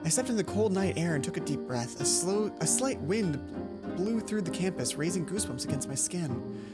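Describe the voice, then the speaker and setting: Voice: mid-range voice, animated, normal speed. A let's player reading visual novel narration aloud, stumbling and rereading lines, with casual asides. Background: soft game music.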